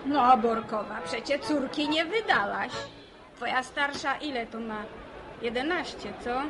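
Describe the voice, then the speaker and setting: An actress playing a sharp-tongued, gossipy middle-aged village wife in a radio drama. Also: female voice, a little high, a little slow, over music, lightly acted, rough recording. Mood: needling. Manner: needling, gossipy.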